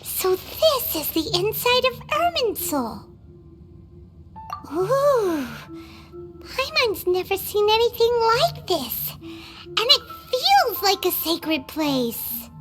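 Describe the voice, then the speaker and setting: Squeaky, feisty little fairy girl. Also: big pitch swings, slow pace, exaggerated voice. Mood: curious, amazed. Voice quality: squeaky, childlike. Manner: indignant, exclaiming, refers to self by name. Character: tiny excitable, feisty childlike floating fairy companion. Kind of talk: video game voice acting. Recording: music behind the voice. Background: game music.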